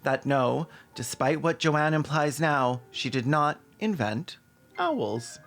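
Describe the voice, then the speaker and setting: A man's voice: on the high side, expressive, slow pace, creaky, a bit bright, faint music behind. A man hosting a scripted comedic commentary with casual asides and a sarcastic, irreverent manner. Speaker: video essayist, host.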